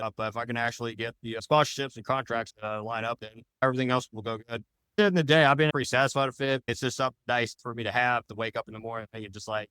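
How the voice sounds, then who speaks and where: A man's voice: medium pitch, bright, forceful tone, clean tone, no room sound. A man talking casually as a podcast guest, rambling along in loosely worded sentences. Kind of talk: casual interview chat.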